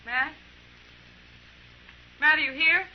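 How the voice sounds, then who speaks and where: A woman's voice: high voice, slow pace. An actress playing an earnest, desperate frontier woman, emotional and insistent in old-time radio melodrama style. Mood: pleading, insistent. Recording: muffled vintage radio recording with hiss.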